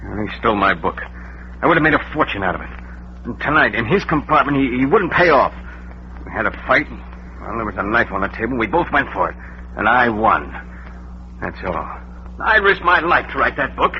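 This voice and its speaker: A man's voice: medium pitch, very animated, a little fast, long pauses. A man playing a blunt, hard-boiled tough in a 1940s radio crime drama. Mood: bitter, defiant. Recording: muffled, hissy vintage radio recording.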